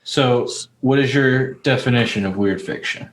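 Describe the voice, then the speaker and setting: A man's voice: medium pitch, normal speed, a little hiss or hum, poor audio. Blunt, sweary man hosting a casual chat. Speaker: podcast host.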